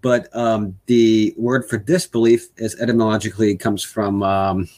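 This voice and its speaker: Man, low and husky, hesitant and filler-heavy conversational delivery. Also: little pitch movement, moderate pace, darkish tone. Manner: hesitant, many fillers and restarts. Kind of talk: casual conversation.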